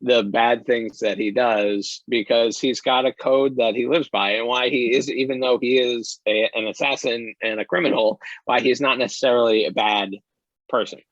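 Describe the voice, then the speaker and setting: A man being interviewed as an audio drama creator, explaining casually and deliberately, often repeating words. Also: medium pitch, fairly even intonation, a bit bright, dry recording. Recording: dry, slightly dull sound.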